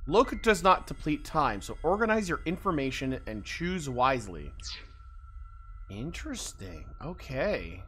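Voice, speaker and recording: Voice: medium pitch, unhurried. A streamer giving casual, playful commentary on a video game, reading its text aloud and muttering along. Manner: animated, mutters to self, playful exclamations. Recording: game music behind the voice. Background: video game music.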